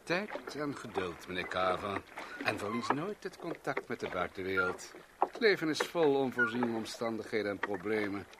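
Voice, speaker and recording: A man's voice: lowish voice, sing-song, moderate pace, heavy background noise. A man acting in a radio play as a self-assured, rich businessman and bon vivant, lecturing in a leisurely, pompous way. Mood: smug, commanding.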